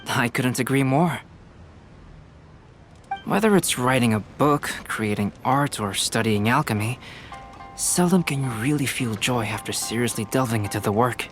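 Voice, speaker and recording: A man voicing a calm, analytical young male alchemist and illustrator in a video game, soft-spoken and logical. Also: mid-range voice, moderate pace, soft background music, natural voice.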